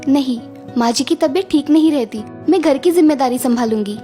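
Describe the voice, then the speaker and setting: A woman acts a young cartoon wife with a high, sweet voice. She is gentle, dutiful and determined, speaking earnestly and dramatically. Music runs underneath. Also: fairly quick, natural voice. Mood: dutiful, resolved. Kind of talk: Hindi moral-story cartoon dubbing.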